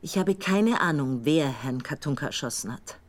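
An actress playing a calm, controlled intelligence colonel who questions a suspect in a radio drama. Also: female voice, low voice, normal speed. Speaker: intelligence officer.

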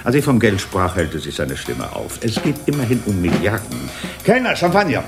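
A self-satisfied wheeler-dealer in a radio drama. His low voice is creaky and theatrical, heard over loud music as he holds forth expansively. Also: wildly swooping pitch, moderate pace. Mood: jovial, conspiratorial. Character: smug, jovial male West Berlin deal-maker. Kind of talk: 1980s German radio drama acting.

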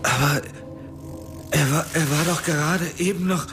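An actor playing an irritable, bewildered man in a radio drama, speaking in a volatile, halting way. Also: on the high side, music in the background, lightly acted. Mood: panicked. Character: Barney, irritable, bewildered man sliding between drugged visions.

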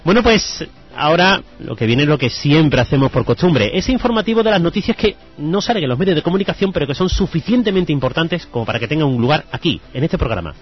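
Fast-talking congested, husky male co-host. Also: a little high, animated. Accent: Spanish from Spain. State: congested with sinusitis. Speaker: radio co-host. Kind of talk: casual radio chat. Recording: dull radio sound with music. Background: background music.

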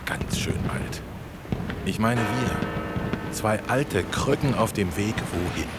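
Loud music plays behind a low-pitched older man in a radio drama. He is an aging dentist, sardonic and nostalgic, dropping wry, laconic remarks to his old drinking companion. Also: slow. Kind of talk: German radio play dialogue.

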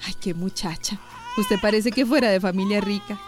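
A woman's voice: mid-range voice, normal speed, over music, close to an everyday voice. An actress with a Costa Rican accent playing a busy, fussing village mother in a radio drama. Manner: flustered, scolding.

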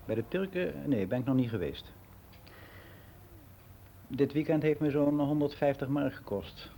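An actor plays a world-weary middle-aged man in a radio drama monologue. His voice is dark and relaxed, of ordinary male pitch. He rambles on frankly and matter-of-factly, like someone sharing gossip in private, with some music in the recording. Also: unhurried, slight background noise, lightly acted. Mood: gossipy. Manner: rambling, confiding, matter-of-fact.

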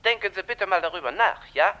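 Sharp, high-voiced complaining listener. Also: male voice, expressive, fast talker, muffled recording. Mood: indignant. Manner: lecturing, self-righteous. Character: indignant, prim male listener phoning to complain. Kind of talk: 1950s radio comedy acting.

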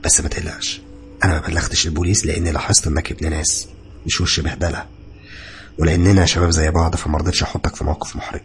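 Man playing a calm young Egyptian doctor, gravelly. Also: extremely low pitch, racing, slight background noise, voice pushed down. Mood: discreet. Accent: Egyptian Arabic. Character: calm young male hospital doctor, reassuring, discreet.

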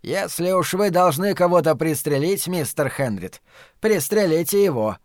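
A man voicing an older academic in an audiobook, calm and wry, speaking with courteous, articulate persuasion. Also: on the high side, moderate pace, no echo, clean studio sound, lightly acted.

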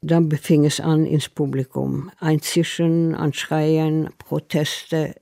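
Slow, creaky older voice, man. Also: on the high side, little pitch movement, mellow, dry recording, clean studio sound. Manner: halting, non-native German phrasing. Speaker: interviewee recalling past events. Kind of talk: unscripted interview recollection.

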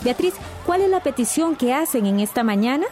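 A composed female TV field reporter in a radio drama. Her voice is fairly high and her delivery formal, with clear music behind it. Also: expressive, a little fast, warm tone. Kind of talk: scripted on-location news report in a radio drama.

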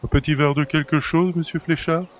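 A man's voice: a little high, steady tone, fairly quick, natural voice. A man playing a cheeky, familiar manservant in an audio drama, chatty and mocking. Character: cheeky, familiar manservant who helps himself to master's luxuries. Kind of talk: French audio drama acting.

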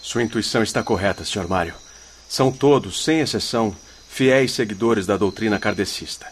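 An actor playing a kindly, wise expedition leader in a radio drama, speaking in a formal, instructive way. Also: male voice, fairly low pitch, fairly quick, natural voice. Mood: earnest, explaining. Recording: faint noise with night crickets.

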